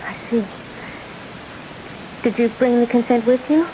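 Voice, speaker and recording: A woman's voice: fairly high pitch, measured pace, close to an everyday voice. Actress as timid young woman of eighteen, polite, hesitant, vintage radio drama, noticeable hiss. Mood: anxious, polite. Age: young adult. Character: polite, timid eighteen-year-old granddaughter. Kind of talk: old-time radio drama acting. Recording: hissy old radio broadcast recording.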